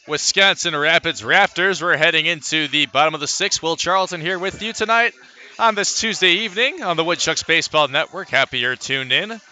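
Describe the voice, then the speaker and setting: A man's voice: on the high side, bright, forceful tone. A man calling live baseball play-by-play for radio, in clipped phrases with dramatic calls.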